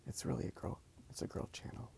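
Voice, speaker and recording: A man speaking softly in a casual, rambling ASMR style as he draws. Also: fast talker, slight background noise. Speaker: ASMR video creator. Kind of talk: whispered ASMR rambling while drawing.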